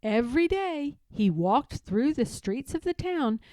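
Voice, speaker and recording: A woman narrating a children's storybook aloud in an expressive, deliberate way. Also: sits high, dry recording.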